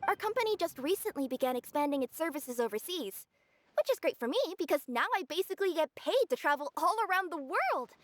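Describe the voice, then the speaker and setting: A woman's voice: high-pitched, big pitch swings, brisk, no room sound. A woman voicing a young cat-spirit courier, earnest, polite and eager to please, in a video game cutscene. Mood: friendly, chatty. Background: game music.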